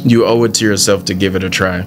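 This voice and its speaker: A man's voice: fairly low pitch, fairly even intonation, fast talker. A young man commenting casually and jokingly as he plays a video game, talking back to its characters. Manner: joking, slangy, talks to characters. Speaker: gaming streamer. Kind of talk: casual let's-play commentary. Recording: voice over loud game music.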